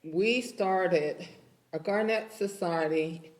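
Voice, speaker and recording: Woman panelist, notably low voice, measured and steady. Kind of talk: formal panel introduction.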